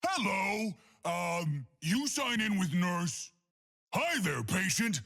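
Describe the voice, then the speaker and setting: A big lunatic monster of a doctor from a video game. The voice is rough and gravelly yet raised and forceful. He booms in childlike broken sentences, happily convinced of his own genius, with huge swings in pitch. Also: sing-song, takes it slowly, exaggerated voice. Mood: eager, oblivious. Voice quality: gravelly, raspy. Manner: childlike broken grammar, booming exclamations. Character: huge deranged purple brute who believes he is a doctor, cheerful and dim. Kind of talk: exaggerated video game voice lines.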